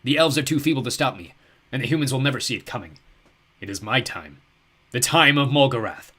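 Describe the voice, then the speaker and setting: A man voicing a huge, cruel ancient ogre lord who talks slowly and boastfully. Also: bright, forceful tone. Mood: gloating. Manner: booming, slow and gloating. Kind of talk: audiobook character voice.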